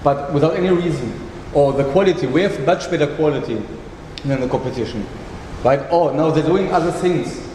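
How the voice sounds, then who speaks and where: A man from the audience adding a comment in a halting, word-searching way. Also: a little high, dark tone. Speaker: workshop participant. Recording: echoey hall, faint noise.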